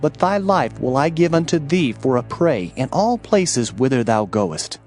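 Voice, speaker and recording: A man's voice: medium pitch, big pitch swings, a little slow, a little mellow, soft background music. A man playing a stern prophet in a dramatized audio Bible, solemnly declaiming God's judgment in archaic phrases. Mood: solemn, commanding.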